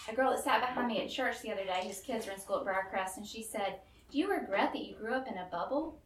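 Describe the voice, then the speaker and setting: A woman's voice: medium pitch, a bit bright. Nervous woman in an echoey room. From Memphis, Tennessee, US. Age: 30s. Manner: nervous, earnest, laughs often. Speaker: guest storyteller. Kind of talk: personal testimony to a live audience. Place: room with a live audience. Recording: roomy and distant with faint room noise.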